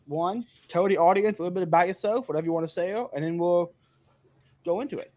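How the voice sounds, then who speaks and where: A man's voice: high voice, fast, warm tone. A man hosting a live podcast, chatty and rambling, laughing often and a bit tipsy. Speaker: podcast host. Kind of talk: casual chat.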